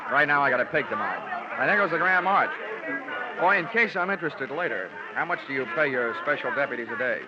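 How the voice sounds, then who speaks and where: Guy doing wisecracking old radio detective, deadpan, lightish higher voice, very noisy muffled tape. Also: quick pace, close to an everyday voice. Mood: wry. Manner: wry, deadpan quips. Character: wisecracking hard-boiled male insurance investigator. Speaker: lead actor and narrator. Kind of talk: 1940s radio detective acting.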